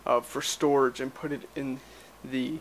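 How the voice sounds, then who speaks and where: A man's voice: mid-range voice, flat delivery, a little slow, a little hiss or hum, poor audio. A young man vlogging casually from a small cluttered spare bedroom, rambling with lots of fillers, speaking into a handheld camera held at arm's length as he walks around. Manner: rambling, filler-heavy, loose and chatty. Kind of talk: casual vlog chat. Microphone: handheld camera at arm's length, moving around.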